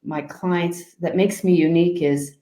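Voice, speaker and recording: A woman coach answering interview questions in a calm, soft-spoken way, with frequent fillers and restarts. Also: fairly low pitch, a little slow, reverberant. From Canada. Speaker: guest life coach.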